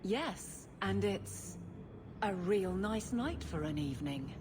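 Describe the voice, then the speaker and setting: An actress playing a proud guard captain who is blunt, flustered and halting, awkward about romance, in a video game cutscene. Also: female voice, medium pitch, expressive, background noise. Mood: nervous, resigned.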